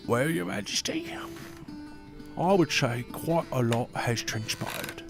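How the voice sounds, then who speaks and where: A man's voice: medium pitch, huge pitch swings, slow, creaky, over music, full character voice. A man playing a loyal aging royal advisor. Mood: wry. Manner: formal, courtly, gently doubting. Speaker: voice actor in audio drama. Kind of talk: fantasy audio drama acting.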